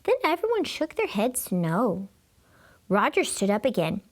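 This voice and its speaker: Female storybook reader, high voice, unhurried pace, expressive narration for children.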